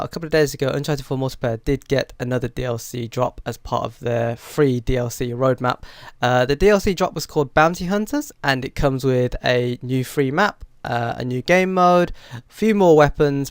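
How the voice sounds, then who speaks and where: A man with a cold and a croaky, stuffy voice, chatting through a gaming news rundown with plenty of fillers. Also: medium pitch, no room sound, studio quality. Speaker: gaming channel host. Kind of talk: casual news rundown to camera.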